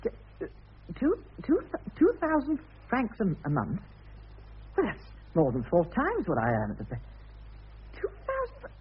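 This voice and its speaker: Thin old radio sound, meek indignant clerk, high wildly swinging pitch, stammering protests. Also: male voice, wildly swooping pitch, measured pace, pauses often, narrow, tinny audio, a little hiss or hum, exaggerated voice. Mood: stunned, doubtful. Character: flustered, indignant, meek male bank clerk. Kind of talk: radio drama acting. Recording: band-limited old radio broadcast.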